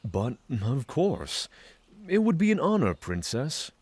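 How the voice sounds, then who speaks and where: A man's voice: medium pitch, huge pitch swings, slow, darkish tone, slightly rough, dry recording, exaggerated voice. A man playing a polite, formal, reflective druid traveler in a fantasy audio drama. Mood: courteous. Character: courteous male druid traveler from the mountains, reflective guest.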